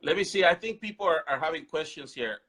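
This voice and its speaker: A man's voice: fairly high pitch, lively intonation, fast, a bit bright. A man from Mexico, hosting a casual talk show, chatty and prompting his guest with questions. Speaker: host.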